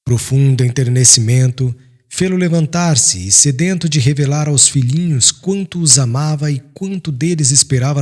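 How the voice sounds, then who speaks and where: Solemn Brazilian male audiobook narrator. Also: mid-range voice. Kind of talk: solemn scripted literary narration. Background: soft music.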